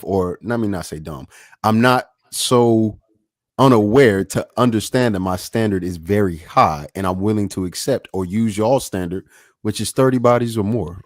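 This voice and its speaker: A man's voice: on the low side, moderate pace, no room sound, clean studio sound. A man in his late twenties in a casual podcast debate, arguing in a slangy way and repeating himself for emphasis. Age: late 20s. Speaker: podcast panelist.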